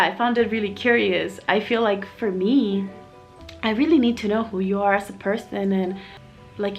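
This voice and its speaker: A young adult woman talks in a relaxed, reflective way, often stopping to rethink a phrase. Her voice sits at an ordinary pitch for a woman, and soft music plays quietly underneath. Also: vocal fry. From Miami, USA. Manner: candid, reflective, chatty with fillers. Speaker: vlogger, single mom living in Mexico. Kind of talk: casual personal vlog.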